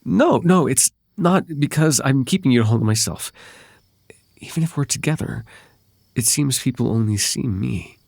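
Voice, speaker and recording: Guy doing a clingy lovesick fae royal for asmr roleplay, gravelly voice, anxious n tender, super dry close mic. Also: medium pitch, lively intonation, darkish tone, dry recording. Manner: halting, tender, formal old-fashioned wording. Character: possessive, lovelorn fae royal heir, male, pleading and anxious. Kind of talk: ASMR roleplay, intimate dramatic monologue.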